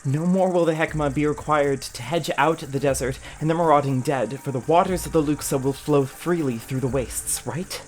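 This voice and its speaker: Man as resolute woman vizier, fantasy audio drama, earnest, urgent, lightly raised pitch. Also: moderate pace, few pauses, natural voice. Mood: challenging, urgent. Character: resolute, defiant female vizier of poisons.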